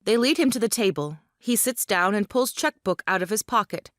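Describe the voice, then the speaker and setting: A female narrator reads scripted stage directions for an audio play. Her pitch is middling, and the recording is very dry and close. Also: expressive, a little fast, no room sound.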